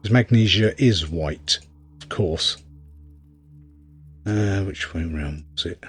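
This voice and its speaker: A man's voice: deep voice, slow pace. A man giving casual gameplay commentary, rambling wryly as he thinks aloud while playing. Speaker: game reviewer and player.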